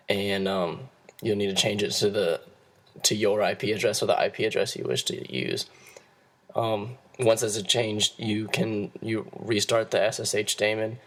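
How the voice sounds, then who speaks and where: A hoarse young man, losing his voice, giving a class presentation and explaining steps in a halting way with frequent restarts. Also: fairly low pitch. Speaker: student presenter.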